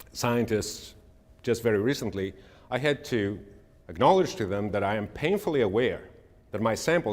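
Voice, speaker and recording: A middle-aged man from Greece, giving a measured, earnest presentation and answering audience questions. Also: on the low side, long reverb tail. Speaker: speaker.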